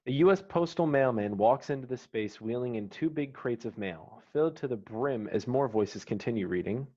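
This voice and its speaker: A man's voice: medium pitch, animated, moderate pace, mellow. A man reading stage directions and scene headings as the narrator of a screenplay. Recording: dull, slightly muffled online call audio.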